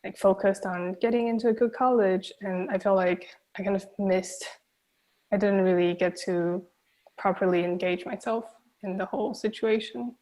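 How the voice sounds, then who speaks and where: A young woman from Taiwan, a native Mandarin speaker, answering as a film director in a thoughtful, soft-spoken way with many pauses. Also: medium pitch, steady tone, low-quality recording. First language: Mandarin Chinese. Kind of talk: reflective festival Q&A answers.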